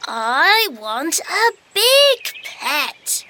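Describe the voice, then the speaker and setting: A very high, slow-speaking little kid, insistent and whiny in a storybook tale. The delivery is emphatic and lively, with chirping birdsong and noise behind it. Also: female voice, exaggerated voice. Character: insistent small child who wants a big pet. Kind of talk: children's storybook dramatization.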